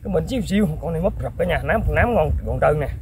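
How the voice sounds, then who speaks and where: A young man excitedly commenting to viewers while fishing outdoors, exclaiming often. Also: fairly high pitch, fast talker, slight background noise. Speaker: fishing vlogger. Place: outdoors in fields.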